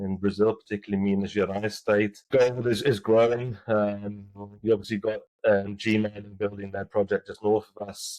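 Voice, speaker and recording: Low-voiced man, halting interview answers. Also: a little mellow, no room sound, poor audio. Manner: halting, many restarts and fillers. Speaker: mining company executive, guest.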